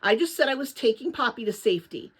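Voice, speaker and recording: Earnest, animated young storybook girl acted by a woman. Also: fairly high pitch, quick pace, husky. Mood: breathless recounting.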